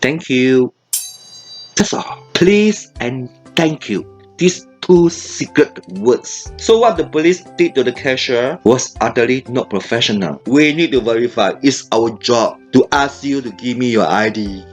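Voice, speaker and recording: A man's voice: medium pitch, lively intonation, a little slow. Indignant man ranting over music. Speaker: commentary host. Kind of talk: opinionated video commentary.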